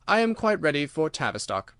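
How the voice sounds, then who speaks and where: A man voicing a confident, brisk Victorian gentleman detective in an audiobook, courteous, assured and playfully secretive. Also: fairly high pitch, big pitch swings, clear, resonant tone, no echo, clean studio sound. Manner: courteous, assured, formally worded.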